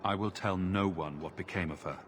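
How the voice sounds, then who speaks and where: A man's voice: sits low, brisk, a bit bright, slight background noise. A man playing a composed, loyal adventurer hero in a fantasy game, speaking sincerely and plainly. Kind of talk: dramatic game cutscene acting.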